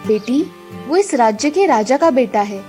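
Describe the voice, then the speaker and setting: A woman's voice: fairly high pitch, animated, moderate pace, dark tone, soft background music, lightly acted. A woman voicing a kindly old forest grandmother in a children's cartoon story. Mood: gentle, caring.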